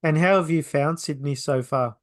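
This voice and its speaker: A man's voice: fairly high pitch, normal speed. A man interviewing a student, asking questions and giving short encouraging affirmations. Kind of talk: interview questions and brief backchannels.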